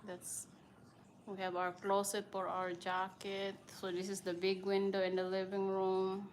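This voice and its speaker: A woman's voice: medium pitch, monotone, measured pace, slight background noise. A woman from the Philippines with a Filipino accent, chatting casually as she gives viewers a tour of her house and greets them by name. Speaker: vlogger, mother.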